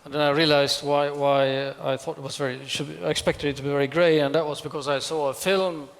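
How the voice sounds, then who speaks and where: A middle-aged man with a slightly high, creaky voice offers reflections as a panelist. He speaks haltingly, searching for words, in a reverberant conference hall. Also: fairly even intonation. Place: large conference hall stage.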